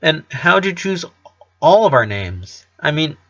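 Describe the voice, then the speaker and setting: A man's voice: fairly high pitch, very animated, unhurried, fairly bright tone, muffled recording, voice pushed up, exaggerated voice. A man voicing a curious, playful eleven-year-old boy from a storybook, inquisitive and teasing.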